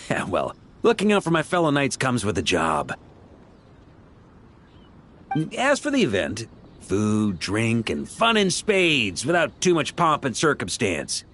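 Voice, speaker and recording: A man's voice: mid-range voice, wildly swooping pitch, unhurried, a bit bright, slight background noise. A man playing a laid-back, kindly, teasing grandmaster of knights in an anime-style video game, with a breezy, informal, joking manner.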